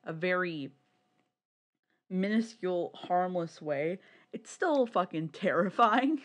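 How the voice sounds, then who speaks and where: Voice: medium pitch, expressive, slow pace. A podcast co-host chatting casually and swearing freely about a hobby. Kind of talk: casual podcast chat about visual novels.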